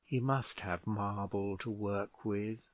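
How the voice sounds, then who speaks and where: A man voicing a young woman who tries to calm a family quarrel in a soft, pleading voice. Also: lowish voice, slow pace, no echo, highs cut off, pitched below the performer's own voice. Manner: soft, pleading, at times whispering. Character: anxious young woman, a pleading peacemaking daughter.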